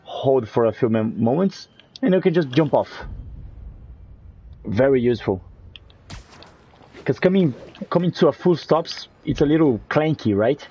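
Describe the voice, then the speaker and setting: Husky man with a Portuguese accent. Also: mid-range voice, a little slow, long pauses. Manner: relaxed, chatty, answering viewers. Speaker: gameplay streamer. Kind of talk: casual commentary while playing.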